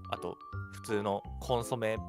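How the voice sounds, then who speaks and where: A man's voice: fairly low pitch, flat delivery, a little slow, over music. A young man co-hosting a radio show, explaining things in a casual, conversational way. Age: young adult. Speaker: radio co-host.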